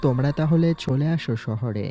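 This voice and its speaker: Man acting a calm young gangster boss, mellow voice. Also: mid-range voice, normal speed, muffled recording, lightly acted. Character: young male gangster boss posing as waiter, coolly ruthless. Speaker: voice actor in an amateur audio story. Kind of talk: acted audio story dialogue. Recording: background music throughout.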